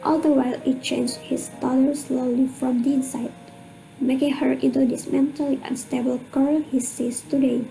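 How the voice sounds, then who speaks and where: Radio drama narrator: woman, high creaky voice, scripted storytelling. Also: little pitch movement, moderate pace, warm tone, poor audio. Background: music.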